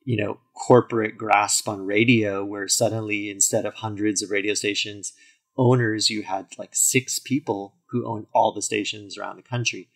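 A man's voice: fairly low pitch, flat delivery, normal speed. A man in his forties, a podcast guest, telling his story fluently with frequent "you know" fillers. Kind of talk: interview conversation.

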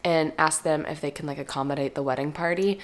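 A woman's voice: sits low, steady tone, fast. Stuffy, chatty young woman. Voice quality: slightly raspy. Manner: chatty and upbeat, trailing into soft asides. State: stuffy, congested. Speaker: lifestyle vlogger. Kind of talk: casual chat to camera. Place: living room and kitchen at home. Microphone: camera at close range.

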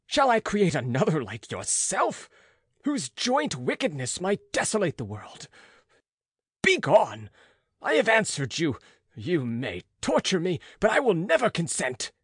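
A man acting an outraged, defiant scientist in an audiobook, with forceful, declamatory delivery. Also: high-pitched, wildly swooping pitch, measured pace, husky, dry recording, studio quality, voice pushed up, exaggerated voice. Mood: furious, defiant. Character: outraged, defiant male scientist, the monster's creator.

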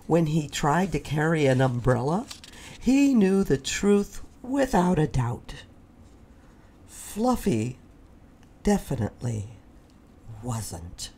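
A woman reading a children's story aloud in an expressive way, with deliberate dramatic pauses. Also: sits low, a little hiss or hum. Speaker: storybook reader.

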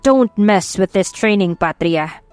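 A woman's voice: medium pitch, animated, slow, darkish tone, no room sound. A woman voicing a stern, controlling middle-aged father with a Filipino accent, firm and scolding, in an audio drama. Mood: coldly commanding. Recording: music behind the voice.

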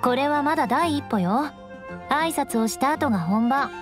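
A proud young noblewoman knight speaks in a high voice. She is haughty and formal in an old aristocratic way, yet easily flustered. Game dialogue with clear music behind. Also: animated, moderate pace. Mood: composed, instructive. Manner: haughty, archaic aristocratic phrasing. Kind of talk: Japanese game voice acting.

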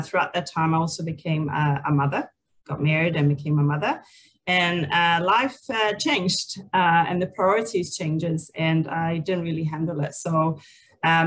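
A woman's voice: on the low side, a little hiss or hum, poor audio. A woman in her forties from Sweden, a native Swedish speaker, telling her story conversationally and hesitantly as an interview guest. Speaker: interview guest, people and culture leader. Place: indoors, on a video call.

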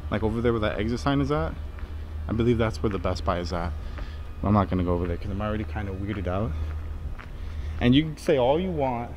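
A young man vlogging as he walks through an empty basement, talking in a rambling, nervous, hushed way, recorded on a handheld phone. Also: fairly low pitch, animated, fast, long pauses, a little hiss or hum. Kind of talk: casual handheld walkthrough vlog. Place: empty concrete basement, large indoor space. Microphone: handheld phone camera. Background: faint music.

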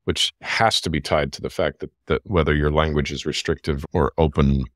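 A man's voice: a bass voice, steady tone, normal speed, a bit bright, no echo, studio quality. A man hosting a podcast over a video call, wrapping up an interview with effusive praise. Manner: effusive, praising, upbeat wrap-up. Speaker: podcast host.

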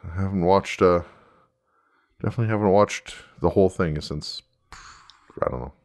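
A man in a casual fan discussion as a podcast host, halting and circling back as he explains. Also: low-pitched, darkish tone.

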